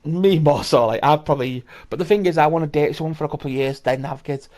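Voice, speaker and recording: A man's voice: a little high, fast, darkish tone. A man, hosting a podcast in casual chat, swearing and rambling with many restarts. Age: 30s. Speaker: podcast host.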